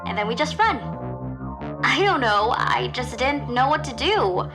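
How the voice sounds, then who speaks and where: A young woman playing a nervous high school girl who tells her story in a casual, hesitant way, as a confessional audio drama monologue. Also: big pitch swings, unhurried, over music, exaggerated voice. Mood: anxious.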